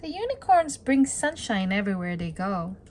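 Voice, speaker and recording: A woman's voice: mid-range voice, big pitch swings, normal speed, very noisy. A woman reading a picture book aloud to children as a storytime host, talking to them directly in a sing-song way.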